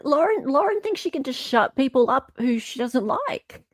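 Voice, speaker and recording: Woman, stream host, fairly high voice, very lively intonation, emphatic casual chat, dry sound. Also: normal speed, no room sound. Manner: expressive, emphatic.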